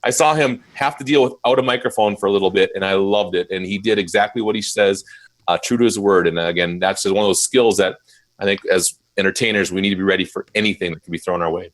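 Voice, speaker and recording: A man who runs a mobile DJ company, chatting in a casual live interview and telling stories with lively jumps in his voice. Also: deep voice, fast talker. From Minnesota, USA. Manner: animated storyteller, voice jumps up when quoting.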